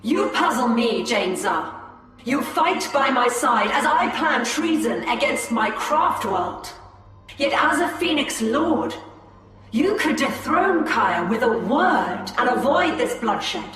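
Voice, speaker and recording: An actress playing a resolute, solemn elf seer in a video game, with formal, measured speech. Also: female voice, fairly high pitch, sing-song, fairly bright tone, full character voice. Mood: earnest doubt. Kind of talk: video game cutscene acting. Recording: cavernous reverb, distant, over music.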